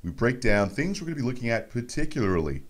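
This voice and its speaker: A man's voice: medium pitch, very animated, fast talker. Joking man hosting sports talk. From Montreal, Canada. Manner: joking, teasing. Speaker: sports radio host. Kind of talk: casual, bantering sports talk. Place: radio studio. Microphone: close studio mic on boom arm.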